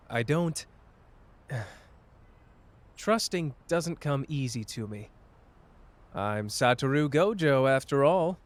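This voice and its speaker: A man playing a cocky but wounded young sorcerer in an intimate roleplay audio drama, with halting, emotional delivery. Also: medium pitch, lightly acted. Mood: vulnerable, hesitant, then bitter, weary.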